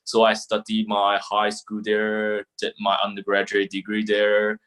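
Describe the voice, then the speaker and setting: A man's voice: fairly low pitch, monotone, measured pace, fairly bright tone. A man in his twenties with a Hong Kong accent, answering casually and informally as a podcast guest. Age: 20s. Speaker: podcast guest, young lawyer.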